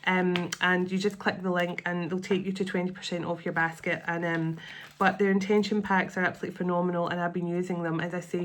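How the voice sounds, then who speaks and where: Woman, middle-aged, stuffy-nosed, lowish voice; murmured invocations, rambling chat with restarts. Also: slight background noise. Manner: soft invocations, rambling chatty asides with restarts. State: sniffly and congested. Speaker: spiritual channeler and tarot reader. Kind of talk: casual spiritual channeling video.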